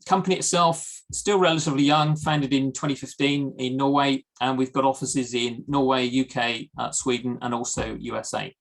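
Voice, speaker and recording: A man from the UK giving a product presentation in a webinar over a video call, steady, with frequent ums and uhs. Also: medium pitch. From United Kingdom. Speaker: guest presenter.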